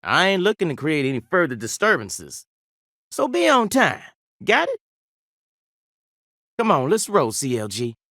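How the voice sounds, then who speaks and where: Slow-drawling Southern small-town sheriff. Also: male voice, a little high, wildly swooping pitch, bright, forceful tone, no echo, studio quality, full character voice. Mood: jovial, obliging. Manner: drawling, chuckling, rambling, folksy. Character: folksy, jovial small-town male sheriff. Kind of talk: video game voice acting.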